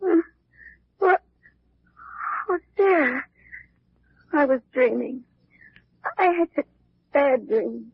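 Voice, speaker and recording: An actress playing a troubled young heiress, plaintive and drowsy, in an old radio mystery. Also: female voice, high-pitched, lively intonation, very slow, creaky, pauses often, exaggerated voice. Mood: drowsy, pained. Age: young adult. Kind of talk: 1940s radio mystery acting. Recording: dull old radio recording.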